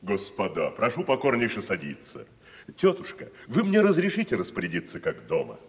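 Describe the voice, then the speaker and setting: An actor playing a grandiose, declamatory wandering tragedian in a 1970s radio play. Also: male voice, fairly low pitch, huge pitch swings, normal speed. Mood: mock-festive. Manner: theatrical, declamatory, mock-solemn. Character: grandiose wandering tragic actor, man, middle-aged. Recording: reverberant studio.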